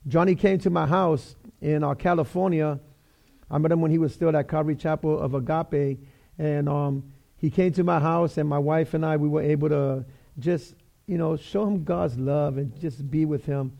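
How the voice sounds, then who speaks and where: Middle-aged Bronx-raised pastor, New York accent, high voice, chatty and joking from the pulpit. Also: male voice, steady tone, moderate pace, creaky, mellow. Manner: chatty, joking, many fillers and restarts. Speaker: guest pastor. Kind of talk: casual sermon.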